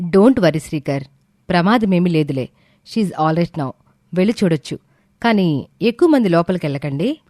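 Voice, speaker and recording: Calm, reassuring lady doctor, voiced by a woman. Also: fairly low pitch, normal speed, pauses often, dry recording, studio quality.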